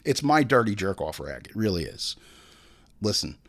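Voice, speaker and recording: Animated, irreverent male podcast host. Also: lowish voice, normal speed, creaky. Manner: crude, profane, irreverent; theatrical, acts out imagined dialogue. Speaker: host of a movie review podcast. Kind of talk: comedic film commentary mixing read facts with ranting asides.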